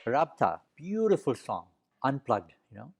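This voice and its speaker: A man's voice: mid-range voice, sing-song, unhurried, loud music behind the voice. A man hosting an interview, praising his guest's songs lavishly and filling his talk with "you know". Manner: effusive praise, frequent "you know" and backchannel. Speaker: interviewer host.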